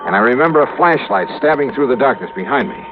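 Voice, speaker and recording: A man's voice: medium pitch, expressive, quick pace, close to an everyday voice. An American actor playing a hard-boiled investigator in a 1950s radio drama, telling his story in terse, wry, clipped phrases. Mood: suspenseful. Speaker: actor in the lead role. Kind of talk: 1950s radio detective acting. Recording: vintage radio, tinny narrow band, music underneath.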